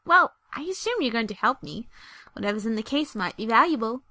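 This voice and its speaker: A woman's voice: on the high side, expressive, fairly quick, bright, forceful tone, no room sound, exaggerated voice. A woman voicing an eager, bookish young female pony in an audio drama, earnest and easily flustered. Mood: earnest, explaining. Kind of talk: animated audio drama acting.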